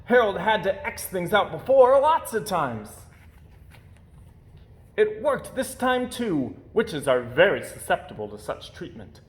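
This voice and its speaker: Animated higher-voiced man reading a storybook. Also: measured pace. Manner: theatrical emphasis on key words. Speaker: reader of a children's picture book. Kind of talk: expressive read-aloud storytelling.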